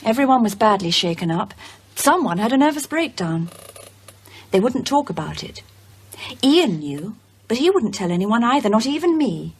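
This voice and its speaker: An actress playing a composed widow who recounts a troubling past in a measured, articulate way. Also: female voice, a little high, sing-song, slightly rough, exaggerated voice. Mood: troubled. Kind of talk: naturalistic radio drama acting.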